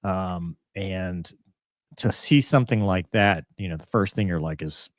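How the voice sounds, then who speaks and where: A man hosting a casual aviation discussion, speaking in a halting, earnest, conversational way. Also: low-pitched, dry recording, clean studio sound. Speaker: show host and commentator.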